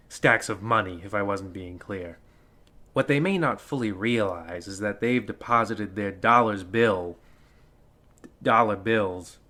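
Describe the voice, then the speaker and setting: An actor playing a theatrical, mock-ominous host of an old-time horror radio show, delivering ghoulish puns in a deliberate manner. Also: male voice, fairly low pitch, bright, forceful tone.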